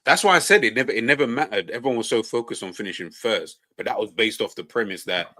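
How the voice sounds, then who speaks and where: Man, low voice, lively pitch, dry close mic. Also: no room sound. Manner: animated, quick to laugh, reasons things out aloud. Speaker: podcast panelist. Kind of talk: casual football chat.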